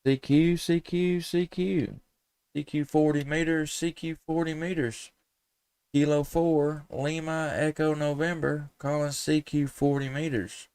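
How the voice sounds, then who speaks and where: Guy doing ham radio calls, smooth clear voice, no room echo, polite and folksy. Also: fairly high pitch, little pitch movement, unhurried, a little mellow. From southern Middle Tennessee, USA. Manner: folksy, courteous, radio jargon and spelled call signs. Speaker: amateur radio operator and livestreamer. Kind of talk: ham radio calling and casual contacts, live streamed.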